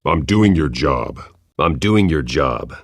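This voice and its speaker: An actor playing a brooding, commanding masked vigilante in a video game, speaking in terse, controlled, menacing lines. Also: male voice, extremely low pitch, expressive, slow pace, no room sound, clean studio sound, full character voice. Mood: stern.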